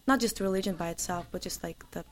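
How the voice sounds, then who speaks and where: A young woman with a Russian accent, a singer and interview guest, answering in an earnest, halting way. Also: on the low side, slight background noise. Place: Los Angeles area.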